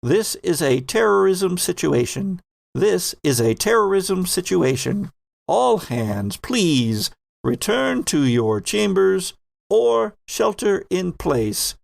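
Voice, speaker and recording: Man doing a high-pitched station alert announcer. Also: big pitch swings, vocal fry, dry recording, heavily acted. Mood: formal, urgent. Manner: measured, repetitive public announcement. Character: pre-recorded station alert announcer, formal and urgent.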